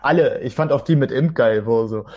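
A man's voice: mid-range voice, a little fast, a little mellow, muffled recording. A man talking in a casual group podcast chat.

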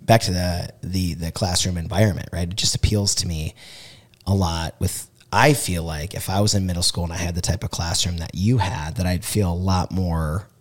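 A man hosting a podcast, hoarse from shouting, with a low raspy voice, asking long rambling questions full of restarts. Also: steady tone, moderate pace. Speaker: podcast host. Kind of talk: casual interview chat.

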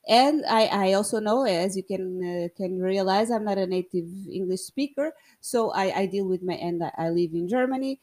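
A woman's voice: medium pitch, normal speed, hardly any pauses, darkish tone. A woman with a Portuguese accent, a native Portuguese speaker, telling a story casually in English with self-mocking humor and hesitant restarts. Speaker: vlogger.

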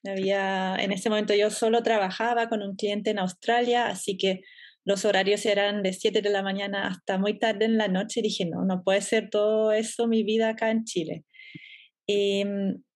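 A German woman in her thirties, guest on a podcast interview, talking about her business in a relaxed way and laughing easily. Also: medium pitch, moderate pace. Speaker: entrepreneur guest.

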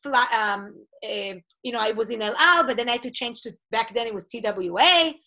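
A woman's voice: on the high side, brisk. A middle-aged woman from Israel whose first language is Hebrew, hosting an interview and telling her own stories expressively. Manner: animated, expressive storyteller. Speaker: host. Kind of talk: conversational interview.

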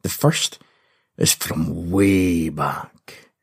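Husky, theatrical man hosting a podcast. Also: low-pitched, extremely slow. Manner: theatrical, punning, playful. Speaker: podcast host. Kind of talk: scripted comedic narration. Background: faint music.

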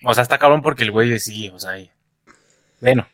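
A man's voice: medium pitch, brisk, a bit bright, no echo, studio quality. A man with a Mexico City accent co-hosting a casual chat, joking and very slangy. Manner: slangy, joking, says güey a lot. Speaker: podcast co-host.

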